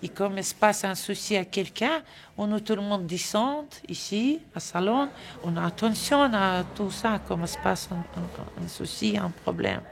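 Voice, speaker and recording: A woman's voice: medium pitch, expressive, moderate pace, gravelly, slight background noise. A woman living in a shelter, speaking earnestly and repeating herself in a documentary interview. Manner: earnest, repetitive phrasing. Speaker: shelter resident. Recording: crowd chatter behind.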